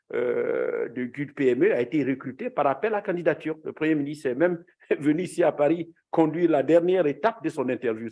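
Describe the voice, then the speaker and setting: A man speaking earnestly on a conference panel as a former official, often pausing and restarting phrases. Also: fairly high pitch, a little mellow, slightly rough. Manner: earnest, hesitant with frequent restarts.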